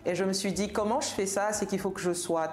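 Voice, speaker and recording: A woman's voice: mid-range voice, steady tone, quick pace, a little mellow. Woman in an echoey room. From Senegal. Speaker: podcast guest, tech professional. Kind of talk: conversational personal storytelling. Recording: roomy, reverberant room sound.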